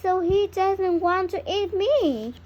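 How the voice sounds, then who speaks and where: A woman voicing a timid little duckling, pitched high. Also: animated, takes it slowly, darkish tone, lo-fi sound, exaggerated voice. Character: timid young male duckling, wistful and eager.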